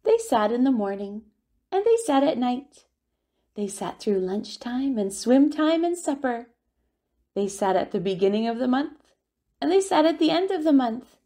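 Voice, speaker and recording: A woman reading a children's picture book aloud as a storyteller, with expressive, deliberate delivery and drawn-out words. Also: a little high. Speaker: children's storyteller at a family centre.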